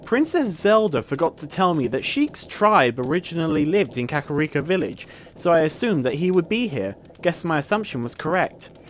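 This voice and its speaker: A woman's voice: low voice, big pitch swings, moderate pace, a little hiss or hum. A woman voicing a brash, sarcastic, slightly clueless young male hero in a comedic video game parody dub. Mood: smug. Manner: sarcastic, blustering.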